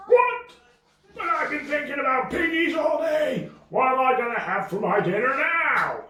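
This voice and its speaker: A man's voice: extremely high pitch, very animated, a little slow, bright, forceful tone, exaggerated voice. A man voicing a big, greedy, doting dragon dad in an improvised puppet show, hammy and relishing his words. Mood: dismayed. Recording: roomy, echoing, distant from the mic.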